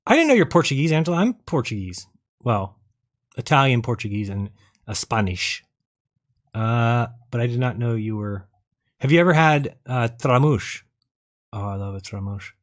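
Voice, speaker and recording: A man hosting a live online show in a casual, rambling and blunt way, thinking aloud as he goes. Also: medium pitch. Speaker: host of a live online debate and call-in show. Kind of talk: casual, rambling livestream chat, sometimes reading viewer comments.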